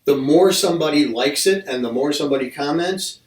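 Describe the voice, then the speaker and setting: A man's voice: on the high side, a little fast. A man hosting a casual podcast, rambling along and restarting his sentences as he talks. He sits a little back from the mic, and the room around him is echoey. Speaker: podcast host. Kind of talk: casual interview chat. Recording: roomy mic from a distance.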